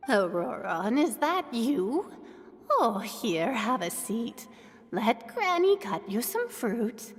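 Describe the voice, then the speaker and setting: A woman's voice: fairly high pitch, big pitch swings, slow pace. A woman playing a doting, fussing old grandmother in a video game, with music behind her. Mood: doting, folksy. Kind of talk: scripted video game acting. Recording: long reverb with game music.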